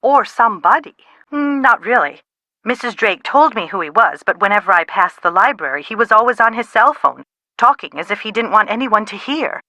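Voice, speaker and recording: A woman playing an anxious, sharp-tongued middle-aged mother in a video game, with a dry, dramatic manner. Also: mid-range voice, lively intonation, brisk, dry recording. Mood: wry, concerned. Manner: exasperated, dramatic, dry wit. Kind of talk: video game dialogue, phone conversation acting.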